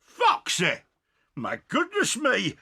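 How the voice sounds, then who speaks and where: An actor playing a flustered, blustering badger with a raspy voice in a children's audio drama. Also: male voice, very high-pitched, huge pitch swings, slow, sharp tone, full character voice. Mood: flustered, alarmed. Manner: agitated, exclamatory. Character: flustered, blustering male badger, a worried father.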